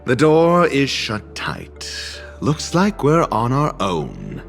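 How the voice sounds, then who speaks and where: An actor playing a haughty, erudite scholar in a video game, speaking in ornate formal phrases with dry, condescending sarcasm. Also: male voice, medium pitch, huge pitch swings, slow, vocal fry, heavily acted. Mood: impatient, instructive. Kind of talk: video game cutscene voice acting. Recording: background music under studio game dialogue.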